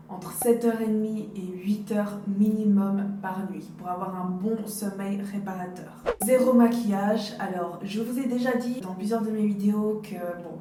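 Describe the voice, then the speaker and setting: Mellow young woman vlogger in a roomy space. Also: medium pitch. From Dominican Republic. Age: late 20s. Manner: chatty, personal, addresses viewers directly. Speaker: beauty vlogger. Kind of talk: casual advice to camera. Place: indoors, hotel. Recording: roomy, distant mic, faint background noise.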